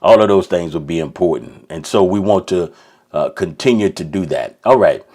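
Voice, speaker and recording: A man's voice: sits low, expressive, moderate pace, a little mellow. A man preaching an informal sermon, rambling with many restarts and checking in with "right?". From Kentucky.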